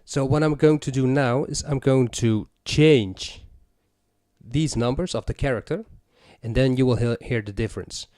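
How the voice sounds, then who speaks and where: A man's voice: mid-range voice, normal speed, a little mellow, dry recording. Man giving a dry, step-by-step tutorial. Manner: methodical, explains step by step. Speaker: music gear tutorial presenter. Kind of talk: step-by-step hands-on demonstration.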